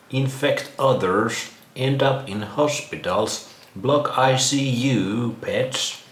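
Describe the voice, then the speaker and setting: Slow, low-voiced Finnish-accented man in echoey room. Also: a bit bright. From Finland. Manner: sarcastic, mocking, crude. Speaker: vlog host. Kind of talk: casual reaction commentary. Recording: roomy echo, some background noise.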